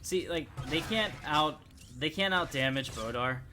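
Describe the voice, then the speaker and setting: A man's voice: fairly high pitch, lively intonation, unhurried, noisy background. A man giving casual, informal gameplay commentary, thinking aloud as he plays. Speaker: gaming streamer.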